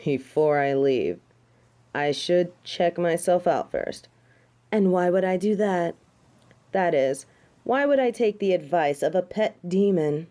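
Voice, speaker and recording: A woman's voice: on the low side, animated, a little slow, warm tone. A woman playing a snobbish, indignant fallen angel in a theatrical audio drama, speaking with haughty disdain. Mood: haughty, irritated. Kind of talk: dramatic audio roleplay acting.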